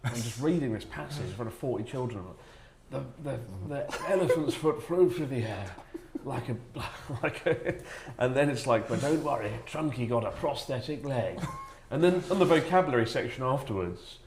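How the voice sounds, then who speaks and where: A man telling a casual comic anecdote to friends in an animated, sardonic way, acting out quotes. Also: medium pitch, moderate pace. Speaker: storyteller, former English teacher. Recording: roomy echo with clear background noise.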